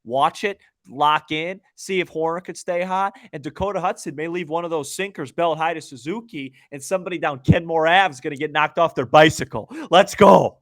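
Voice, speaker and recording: A man's voice: on the high side, a little fast, few pauses, a bit bright, dry recording, studio quality. A man co-hosting a sports podcast in casual talk, with choppy, conversational phrasing that often repeats words.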